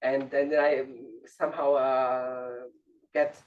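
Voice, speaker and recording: A man's voice: mid-range voice, level tone, phone-quality sound. A young man from Slovakia, speaking on a panel with many fillers. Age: young adult. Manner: quick, with filler words and chuckles. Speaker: roundtable panelist. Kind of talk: conversational panel remarks. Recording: distant mic with faint noise.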